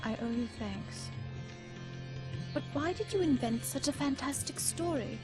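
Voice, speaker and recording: A woman's voice: sits high, animated, measured pace, over music. A woman playing a proud, indignant young princess in a dramatic video game, with a formal, imperious manner. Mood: haughty, indignant.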